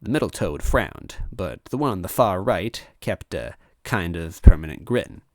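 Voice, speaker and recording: A man reading a first-person story aloud as an animated narrator. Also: deep voice, unhurried, dry recording. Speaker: story reader.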